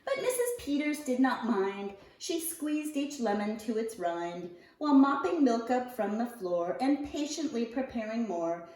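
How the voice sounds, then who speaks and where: A woman reading a picture book aloud to young children, expressively, with deliberate phrasing and drawn-out key words. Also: a little high, big pitch swings, darkish tone. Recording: roomy echo, distant mic.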